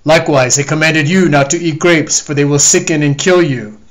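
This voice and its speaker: A man voicing a stern, wrathful male God who hands down commandments in slow, commanding proclamations. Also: mid-range voice, lightly acted.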